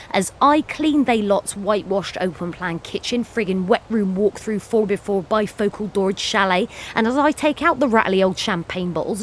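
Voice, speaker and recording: A feisty wild wolf woman, acted by a woman for an audio play, speaks in a fairly high voice. She is an earthy, bitter cleaner who rambles defiantly and swears freely. There is some background noise behind her. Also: close to an everyday voice. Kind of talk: dramatic monologue, audio play.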